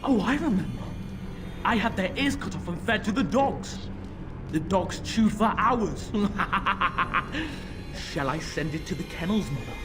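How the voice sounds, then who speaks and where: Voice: medium pitch, sing-song, measured pace. An actor playing a demon-possessed young boy who is cruel, mocking and imperious, in a dramatic video game. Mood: gloating, cruel. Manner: taunting, haughty. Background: background music.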